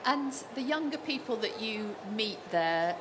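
A woman interviewer asking gentle, searching questions, with fillers as she forms them. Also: fairly high pitch, animated, unhurried, background noise.

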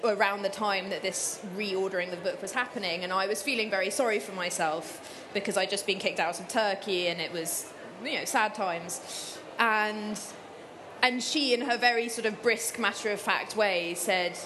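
A woman author talks through her answers in an interview, thoughtful and unhurried, often restarting her sentences. Her voice sits at an ordinary middle pitch. A clear steady noise runs behind her throughout. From Cyprus. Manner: thoughtful, with many fillers and restarts. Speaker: author guest.

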